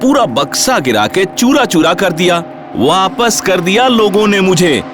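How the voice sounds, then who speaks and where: A man's voice: high voice, very animated, a little slow. A man playing a battered, well-meaning biscuit box in a training film, pleading and full of grievance. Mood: aggrieved. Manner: aggrieved, pleading. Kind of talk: acted dialogue in a training film. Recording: loud music behind the voice.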